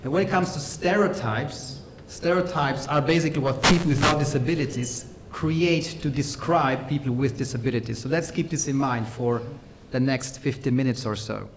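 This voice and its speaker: A middle-aged man with an Austrian accent giving a workshop lecture, measured and pausing often with fillers. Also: medium pitch, noisy background.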